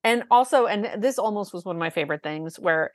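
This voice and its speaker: A woman with a low voice, co-hosting a podcast, talking in an enthusiastic, chatty way with lots of fillers. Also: lively intonation, brisk, dry recording, studio quality. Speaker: podcast co-host. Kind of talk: casual chat about a musical.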